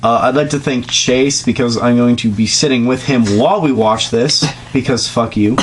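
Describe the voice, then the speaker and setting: A man's voice: mid-range voice, normal speed. A man giving an informal mock dramatic reading, joking in asides and stumbling over words now and then. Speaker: amateur reader of comedic fan fiction. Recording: amateur recording with background noise.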